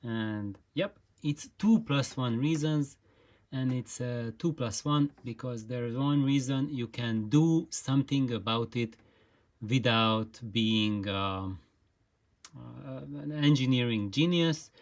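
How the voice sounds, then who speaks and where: A host giving an informal explainer talk in a halting, hesitant way, with frequent pauses and repeated words. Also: medium pitch. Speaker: host of an audio gear channel.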